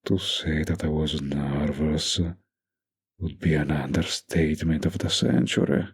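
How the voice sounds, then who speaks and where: A man playing a doting, dominant young mafia heir boyfriend in an intimate roleplay audio drama, murmuring slow, possessive sweet talk with pet names. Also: little pitch movement, full character voice. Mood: tender, reflective. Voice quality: low gravelly, breathy murmur.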